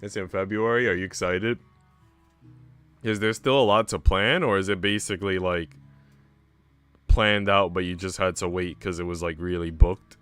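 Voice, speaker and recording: A man's voice: sits low, steady tone, normal speed, long pauses, fairly bright tone, clean tone, no echo, faint music behind. A young man chatting casually on a live stream in a slangy, rambling way. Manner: slangy, rambling, sprinkled with "like" and "bro". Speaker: streamer reading a visual novel, a teacher.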